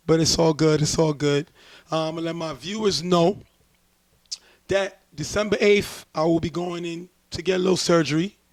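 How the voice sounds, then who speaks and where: A man hosting a casual sports talk show, a bit hoarse as he loses his voice, breaking down plays in a chatty way. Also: on the high side, normal speed, no echo. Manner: relaxed, chatty play-by-play breakdown. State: losing his voice. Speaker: show host, sports commentator.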